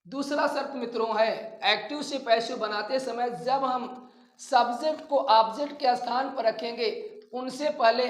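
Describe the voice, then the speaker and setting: A man teaching a grammar lesson, explaining rules deliberately and repeating his phrases. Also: very high-pitched. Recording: echoey room sound.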